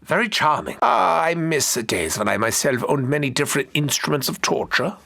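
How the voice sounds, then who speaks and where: An actor playing an eccentric, haughty German mad scientist doctor in a video game, theatrical and grandiose, with a German accent. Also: male voice, a little high, lively intonation, fairly quick, vocal fry, fairly bright tone, no room sound. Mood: sardonic. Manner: theatrical, grandiose, self-important. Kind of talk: video game character quotes.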